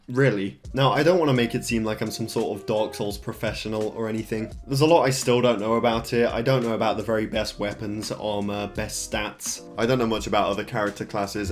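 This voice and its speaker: A man with a lowish voice talks through his playthrough in a relaxed, self-deprecating voice-over. His voice sounds a little tired and worn from long recording. Faint game music plays softly beneath him. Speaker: gaming video creator. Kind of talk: casual game commentary voice-over.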